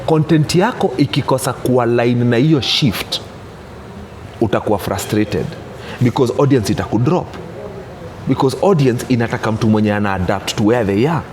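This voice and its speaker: A man's voice: mid-range voice, lively intonation, normal speed, darkish tone. Kenyan gospel DJ, 30s, male, mid voice, insistent and repetitive, noisy ambience behind. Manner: emphatic, repeats "unanishika?" to check understanding. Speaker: interview guest, gospel DJ. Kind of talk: casual interview, mixing English and Swahili.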